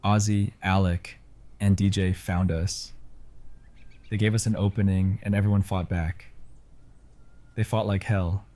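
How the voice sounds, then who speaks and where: A man playing a grieving but resolute survivor giving a solemn, halting speech in an audio drama. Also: low-pitched, little pitch movement, warm tone. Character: grieving, resolute survivor addressing his community.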